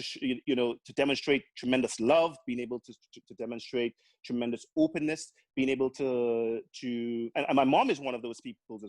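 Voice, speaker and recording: A man's voice: mid-range voice, a little mellow, dry recording. A man from Grenada with a Caribbean accent, chatting earnestly as a guest, often restarting his words. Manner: earnest, with many repeated restarts. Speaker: guest, accountant. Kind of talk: casual interview chat.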